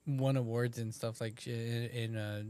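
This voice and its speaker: A man's voice: mid-range voice, steady tone, normal speed, mellow, clear, resonant tone. A man in casual conversation, talking in a laid-back, rambling way with casual swearing. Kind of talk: casual chat.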